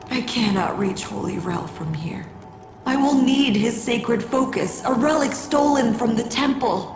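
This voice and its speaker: A woman playing a fervent, reverent cult priestess in a video game, theatrical, with a creaky, breathy voice. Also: a little high, lively intonation, takes it slowly, echoey room, music in the background. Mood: grave, beseeching. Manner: reverent, theatrical, breathy whispers rising to incantatory cries. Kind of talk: dramatic video game acting.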